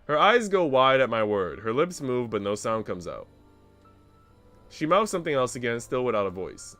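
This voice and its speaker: A man's voice: mid-range voice, very animated, moderate pace, sharp tone, faint music behind. A man streaming a visual novel, reading its narration aloud expressively with casual commentary.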